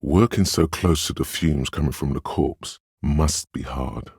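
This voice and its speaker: Game narrator: a man's empathetic inner voice, bass-deep and heavily husky, perceptive, tender, literary and unhurried in phrasing. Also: darkish tone, no room sound, clean studio sound, heavily acted. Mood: grave, observant.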